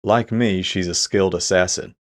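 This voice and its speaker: A man's voice: sits low, steady tone, moderate pace, lightly acted. A man playing a cold, middle-aged crime syndicate boss in an audio drama, with a calculated, menacing manner. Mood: cold, calculating.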